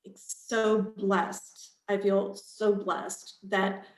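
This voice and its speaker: A woman's voice: medium pitch, little pitch movement, very slow. Woman author in an echoey room. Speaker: author at a literary event. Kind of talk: reading own fiction aloud, then panel talk. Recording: roomy echo.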